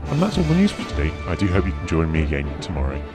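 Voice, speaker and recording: An actor playing a local radio newsreader reading a bulletin in a radio play. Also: male voice, deep voice, wildly swooping pitch, rapid-fire, over loud music. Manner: newsreader delivery. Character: local radio newsreader, brisk male presenter. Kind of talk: mock news bulletin.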